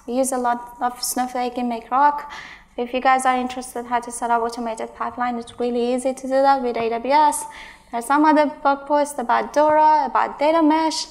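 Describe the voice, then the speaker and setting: Conference speaker, woman in her thirties, high voice with gravelly fry, emphatic and exasperated. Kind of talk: tech conference talk with Q&A. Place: large stage in a hall.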